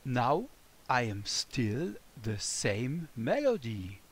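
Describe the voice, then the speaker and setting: A man from Germany with a German accent, teaching a children's music lesson in simple, deliberate phrases and playfully addressing the listener. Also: medium pitch, very animated, husky. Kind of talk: scripted children's music lesson, speaking as a melody.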